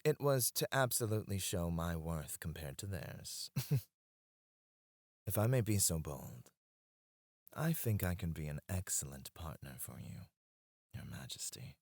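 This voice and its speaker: Low-voiced, teasing court advisor, played by a man, close-mic ASMR, lively inflection, hushed asides. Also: creaky, no room sound, full character voice. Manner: soft-spoken, dropping to a hush on titles; teasing and confiding. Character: scheming male royal advisor, smooth and devoted. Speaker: voice actor. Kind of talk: ASMR roleplay.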